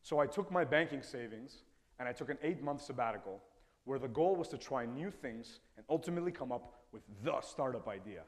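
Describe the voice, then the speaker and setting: A man's voice: medium pitch, expressive, a little fast. A man in his twenties from Ecuador, a native Spanish speaker, telling personal stories in an earnest, reflective talk on stage. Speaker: TEDx speaker. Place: stage in a lecture hall. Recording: reverberant hall sound.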